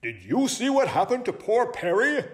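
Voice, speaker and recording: Man voicing a pompous, high-pitched owl detective. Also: huge pitch swings, normal speed, exaggerated voice. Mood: excited accusing. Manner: pompous, declamatory. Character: dramatic, self-important, excitable male owl detective. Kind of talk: children's storybook character voice.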